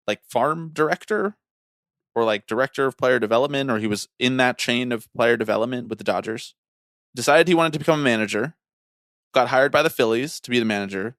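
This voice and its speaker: A man's voice: medium pitch, a bit bright, no room sound. A man co-hosting a casual sports podcast, telling stories in a wry, opinionated way. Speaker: podcast co-host.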